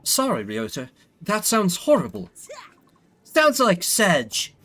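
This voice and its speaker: A man's voice: high-pitched, huge pitch swings, takes it slowly, a bit bright, pitched above the performer's own voice, full character voice. A man voicing a friendly, easygoing young heroine from a video game, with a breezy, informal manner.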